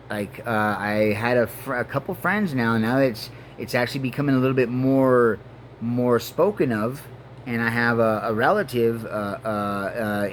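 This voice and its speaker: A man in his forties whose first language is Spanish speaks at a middle pitch, earnest and halting. He fills gaps with ums and restarts sentences often. A faint hum of air conditioning sits under the voice. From Mexico and Denver, Colorado. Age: 40s. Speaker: vlogger. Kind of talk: rambling personal monologue to camera.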